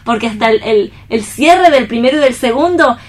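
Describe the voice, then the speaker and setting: A woman with a fairly high voice talks casually on a radio panel. She is thoughtful, often checking that the others agree with small tag questions. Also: animated, normal speed. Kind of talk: casual literary discussion.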